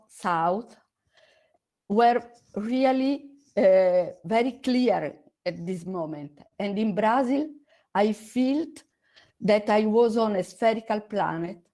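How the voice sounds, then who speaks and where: A woman from Italy with an Italian accent, giving a lecture over a video call in halting, non-native English with many pauses. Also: medium pitch, a little mellow. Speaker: guest lecturer. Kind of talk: online conference presentation.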